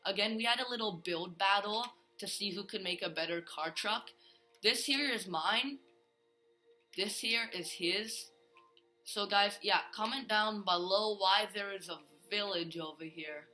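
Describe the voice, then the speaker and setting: A man's voice: high voice, a little slow, sharp tone, soft background music. A male gaming host giving a casual, rambling tour of a Minecraft build, full of fillers and restarts.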